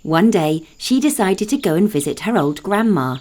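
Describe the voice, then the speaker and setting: A woman narrating a children's fairytale from a script as a storyteller, expressive and deliberate. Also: medium pitch. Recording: music under the voice.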